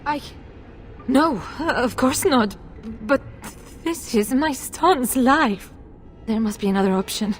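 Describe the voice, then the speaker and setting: Grieving noble mom in a game, high voice, slow n halting, pleading, music behind. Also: female voice, lively intonation. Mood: desperate bargaining. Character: desperate, grieving noble mother pleading for her son. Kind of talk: dramatic video game voice acting.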